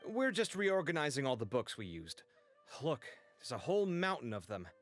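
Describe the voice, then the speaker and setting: An amiable, slightly dramatic young scholar voiced by a man in a video game. His mid-pitched voice moves around a lot and sounds conversational, with faint music behind. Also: big pitch swings, moderate pace, lightly acted. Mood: eager.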